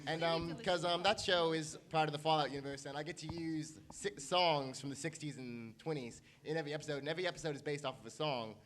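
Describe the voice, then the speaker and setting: A man's voice: a little high, fast talker, few pauses, fairly bright tone, slight background noise. A man chatting casually as a panelist at a convention, talking into a microphone. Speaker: panelist, audio editor. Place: convention meeting room with an audience.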